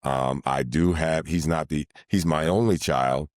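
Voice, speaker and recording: A middle-aged man, a sports announcer, speaking in a deliberate, formal and wordy way in an interview. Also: extremely low pitch, dry recording, clean studio sound. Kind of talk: measured, formal interview talk.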